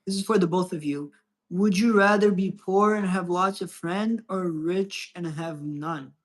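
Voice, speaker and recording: A man's voice: sits high, measured pace. A young man from Brazil chatting casually as a podcast co-host, loose and profane, often restarting his sentences. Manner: loose, swearing, rambling with restarts. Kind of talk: casual chat.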